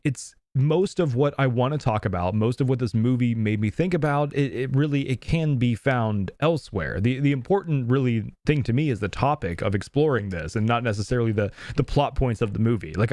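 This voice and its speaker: A man's voice: medium pitch, animated, fairly quick, hardly any pauses, a little mellow, no echo. Dry-sounding, reflective man. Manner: reflective, trailing and hedging. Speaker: podcast co-host. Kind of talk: casual movie podcast chat.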